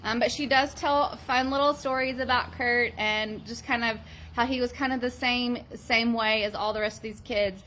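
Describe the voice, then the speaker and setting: Middle-aged female librarian, earnest casual book chat, bright tone, light background hiss. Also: fairly high pitch, steady tone, moderate pace. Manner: chatty, earnest, many ums. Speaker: teacher and school librarian reviewing books. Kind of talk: casual book review vlog.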